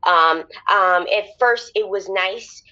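A flustered, evasive caller, bright-voiced. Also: female voice, mid-range voice, takes it slowly, highs cut off. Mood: nervous, guarded. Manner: stammering, defensive, rambling. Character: evasive, flustered anonymous woman caller hiding from questions. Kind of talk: semi-improvised audio comedy drama.

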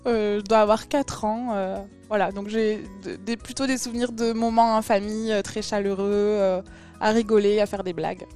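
A woman's voice: mid-range voice, fairly even intonation, moderate pace, a little mellow, soft background music. A woman with a southern French accent from Toulouse, a podcast guest chatting casually with many fillers. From Toulouse, France. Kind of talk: casual interview chat.

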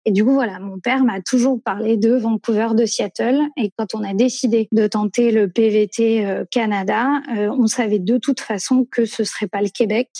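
Thirties woman from France, mellow tone, chatty and meandering, dry room. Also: fairly high pitch, steady tone, moderate pace, hardly any pauses, breathy edge, no echo. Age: 30s. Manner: rambling, many fillers and self-corrections. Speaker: guest. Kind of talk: casual conversational interview.

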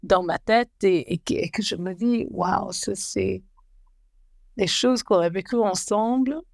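Slow, hesitant woman telling her story. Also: medium pitch, creaky, no room sound, clean studio sound. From Belgium and Rwanda. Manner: hesitant, searching for words, many restarts. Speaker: guest. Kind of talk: personal life story interview.

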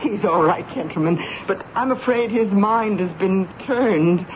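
A woman's voice: medium pitch, measured pace, close to an everyday voice. A woman acting a fond, fretful mother, muffled recording. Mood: fond, apologetic. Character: gentle, indulgent mother, apologetic and homely. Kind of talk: 1970s radio drama acting. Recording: dull, noisy old radio recording.

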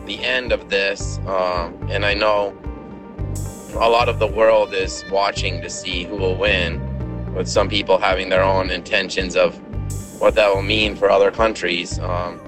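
An American man in his forties, speaking in a calm, measured way as an interviewee about the mood in his country. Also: sits low, over music. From United States. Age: 40s.

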